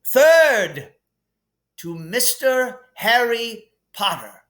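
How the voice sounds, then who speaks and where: A man voicing an elderly, wise and kindly wizard headmaster with a stately, deliberate delivery, as in a storybook reading. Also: very high voice, big pitch swings, fairly bright tone, pitched above the performer's own voice, exaggerated voice. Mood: proud, solemn.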